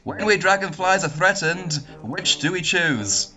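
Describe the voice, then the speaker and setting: A performer playing a stern older male dragonfly teacher giving a measured lecture in a fan script reading. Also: mid-range voice, lively intonation, bright, forceful tone, faint music behind.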